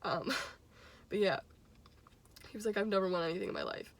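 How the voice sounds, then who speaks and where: A woman's voice: medium pitch, normal speed. Chatty young woman telling an anecdote. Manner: animated, giggly, quotes dialogue as she goes. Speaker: teacher and music fan telling a personal anecdote. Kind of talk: casual chatty storytelling.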